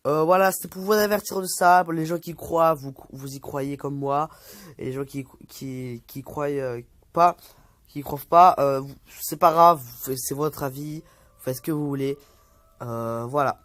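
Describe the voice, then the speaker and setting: A man's voice: on the high side, measured pace, fairly bright tone, dry recording. A young man vlogging casually, reading an article aloud and stumbling over words, with many fillers. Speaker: youtuber.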